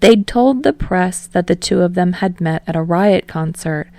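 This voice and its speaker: A woman's voice: on the low side, expressive, moderate pace, mellow, dry recording. A woman reading a story aloud as scripted audiobook narration. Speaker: audiobook reader of fan fiction.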